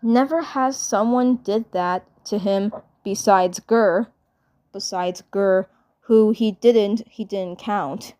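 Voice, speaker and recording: A woman reading fan fiction narration aloud, haltingly, often repeating phrases as she goes. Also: mid-range voice, mellow. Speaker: fan dub storyteller.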